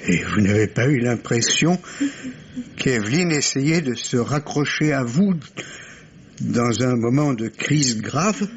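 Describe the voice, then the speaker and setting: Middle-aged male grieving father, grave, courteous, measured, vintage radio drama, muffled, faint noise. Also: medium pitch, animated. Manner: measured, formal, restrained. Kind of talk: 1950s French radio drama acting. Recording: dull lo-fi old radio, noisy.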